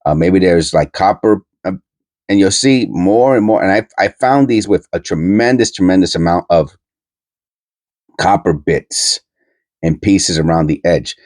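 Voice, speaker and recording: Man, New York accent, nasal from a cold, low pitch, dry close sound, blunt rambling talk. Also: measured pace, darkish tone, dry recording. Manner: blunt, meandering, many fillers. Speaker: coin-collecting channel host. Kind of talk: rambling casual monologue.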